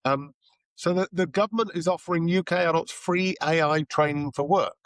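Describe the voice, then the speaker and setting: A hoarse man with a cold co-hosting a tech podcast, chatting in a rambling, self-deprecating way. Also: fairly high pitch, fairly even intonation, normal speed, no echo. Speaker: podcast co-host. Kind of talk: casual podcast chat.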